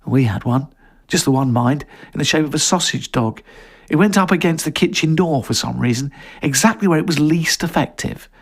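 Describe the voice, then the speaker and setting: A man's voice: fairly high pitch, moderate pace, slightly rough. A man voicing a chatty, wry middle-aged woman in an audio story. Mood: nostalgic, wry.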